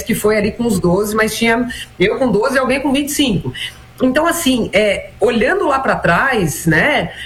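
A woman in her forties from Brazil, a former national team player answering interview questions in a measured, reflective way with many small fillers. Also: medium pitch. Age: 40s. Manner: measured, frequent "né" and "então" fillers.

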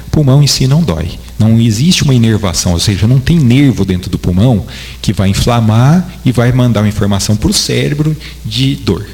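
A man's voice: lowish voice, gravelly, a little mellow. A man with a Brazilian Portuguese accent, a doctor answering interview questions in a patient, didactic way. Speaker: doctor guest.

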